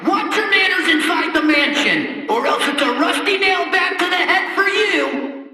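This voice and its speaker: Shrill, barking, foul-mouthed thug. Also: male voice, expressive, a little slow, no room sound, full character voice. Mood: angry, threatening. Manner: shouted, threatening bark. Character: foul-mouthed, menacing thug guarding a mansion. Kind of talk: video game character acting.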